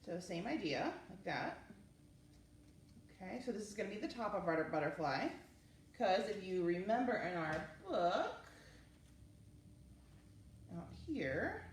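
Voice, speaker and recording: A woman speaks to young children in an informal, encouraging way, explaining things step by step. Her intonation swings widely and keeps things animated. She is recorded some way from the microphone in an echoey room, with a faint layer of noise. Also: mid-range voice, measured pace, long pauses. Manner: encouraging, informal, talking through each step. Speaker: children's librarian hosting story time and craft. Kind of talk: casual instructional talk to young children. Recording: roomy, distant mic with faint noise.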